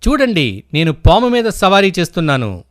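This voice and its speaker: A man voicing an eager, demanding young frog prince in a children's story, excited and insistent. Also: sits high, moderate pace, no echo, studio quality.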